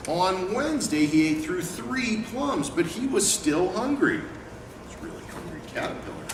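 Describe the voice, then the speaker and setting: A man presenting a picture book read-aloud and talking informally as an educator, with an expressive delivery. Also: sits high, normal speed. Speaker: educator and book company owner reading aloud. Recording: echoey room, distant mic, clear background noise.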